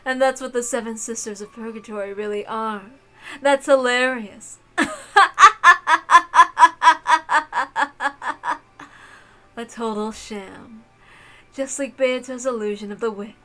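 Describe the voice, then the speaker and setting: A woman's voice: fairly high pitch, steady tone, normal speed, a bit bright, natural voice. A woman voicing a cruel, mocking aristocratic witch lady with theatrical, taunting delivery in a visual novel read-aloud. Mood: gloating, mocking.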